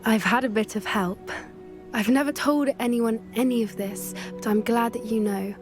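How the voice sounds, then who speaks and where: Woman voicing a young student girl, soft-spoken, thoughtful, slightly high voice, game cutscene. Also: moderate pace, over music, natural voice. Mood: earnest. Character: earnest young female student, gentle creature lover. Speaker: game character. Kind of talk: video game dialogue.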